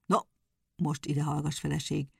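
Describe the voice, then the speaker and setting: A woman voicing a small, crooked-legged male hedgehog, sly and quick to take offense, with a folksy, stubborn way of talking. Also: sits low, little pitch movement, creaky, dark tone, husky, no echo, studio quality, full character voice. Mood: bossy.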